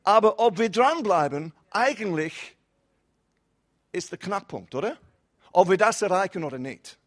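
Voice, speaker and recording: A man's voice: sits high, expressive, a little slow. A man preaching a casual sermon as a visiting pastor, speaking with a foreign accent as a non-native German speaker and mixing in English words. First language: not German. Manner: casual, mixes English words, rising and falling emphasis. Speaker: guest pastor.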